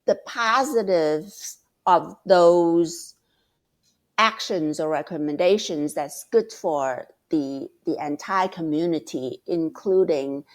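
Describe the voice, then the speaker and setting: A middle-aged woman giving advice as a mentor, talking slowly and thoughtfully, often repeating words as she searches for them. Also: on the low side. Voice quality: loud, passionate voice. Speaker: guest mentor. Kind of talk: casual advice talk.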